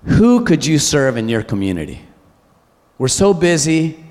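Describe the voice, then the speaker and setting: High, animated man preaching in a hall. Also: measured pace. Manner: exhorting, animated, asks rhetorical questions. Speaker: pastor. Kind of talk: sermon. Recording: long church hall reverb.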